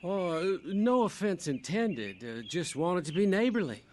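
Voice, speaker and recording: Meek, stammering rural neighbor, radio drama, very high male voice, faint outdoor ambience. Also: sing-song, a little slow, slight background noise. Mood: friendly, flustered. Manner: hesitant, stammering, apologetic. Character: timid, friendly widowed country neighbor man. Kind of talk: old-time radio drama acting.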